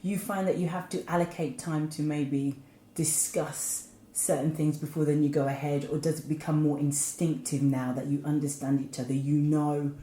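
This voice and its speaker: A woman co-hosts, asking long, winding questions in a chatty way. Her voice is very low for a woman and mellow. The echoey room carries faint background noise. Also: level tone, normal speed. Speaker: podcast co-host. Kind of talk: interview questions.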